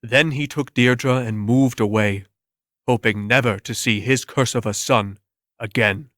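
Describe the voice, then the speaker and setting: Slow-paced man narrating a story. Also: medium pitch, fairly bright tone, no room sound, clean studio sound. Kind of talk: dramatic scripted story narration.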